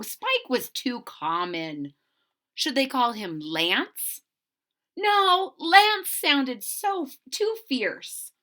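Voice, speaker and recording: Animated, high-pitched woman reading to children. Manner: exaggerated, drawn-out emphasis on key words. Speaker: storybook read-aloud host. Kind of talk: expressive children's read-aloud with chatty asides.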